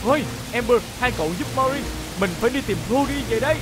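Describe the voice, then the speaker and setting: A woman voicing a steady, brave rescue team vehicle in a children's cartoon dub, decisive and reassuring. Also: a little high, fast talker, lightly acted, rough recording. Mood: decisive, urgent. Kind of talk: dubbed children's cartoon acting. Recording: loud music behind the voice.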